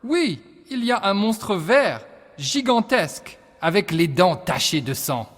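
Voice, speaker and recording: An actor playing a bossy, mischievous young French student with a French accent in a video game. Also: male voice, sits high, very animated, measured pace, fairly bright tone, heavily acted. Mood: teasing. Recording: long echoing reverb.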